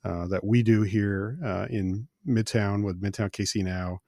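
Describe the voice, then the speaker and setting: A middle-aged man from the American Midwest hosting a podcast in a studio, chatting casually and relaxed with plenty of filler words. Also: on the low side, unhurried, no room sound. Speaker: podcast host. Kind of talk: casual interview chat. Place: recording studio.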